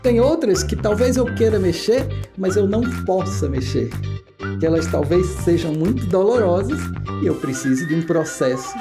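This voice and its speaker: A man with a northeastern Brazilian accent from Pernambuco, explaining things conversationally as a podcast guest and pausing to search for words. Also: high voice, sing-song, vocal fry, music in the background. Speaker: guest expert, oncologist.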